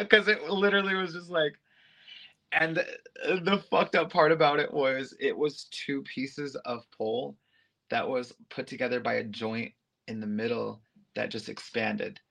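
Male guest, casual storyteller, animated, filler-heavy, quick to laugh. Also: mid-range voice, gravelly. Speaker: interview guest, aerialist and go-go dancer.

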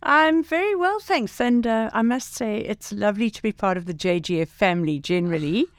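A woman's voice: mid-range voice, lively intonation, fairly quick, no room sound, studio quality. An older woman, a teacher and mentor speaking graciously as a podcast guest. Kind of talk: casual podcast conversation.

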